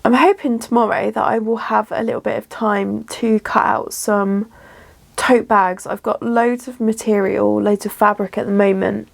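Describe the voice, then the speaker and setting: A woman's voice: medium pitch, steady tone, normal speed, creaky. A woman vlogging casually from her home craft studio, talking through her work with plenty of ums and restarts, a little bunged up. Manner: chatty, many ums and restarts. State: slightly bunged up from a runny nose. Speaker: small business vlogger. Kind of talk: casual vlog chat to camera.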